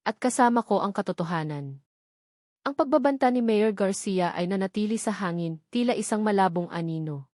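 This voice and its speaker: A woman's voice: medium pitch, lively intonation, moderate pace, a little mellow, no echo, studio quality. A woman reading scripted, dramatic narration as a storyteller.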